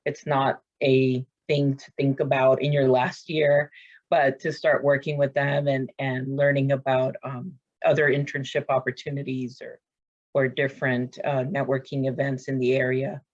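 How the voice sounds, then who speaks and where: A woman's voice: extremely low pitch, level tone, normal speed, lo-fi sound. A woman on staff at a university, talking in a rambling, enthusiastic way with many fillers. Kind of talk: casual video-call chat.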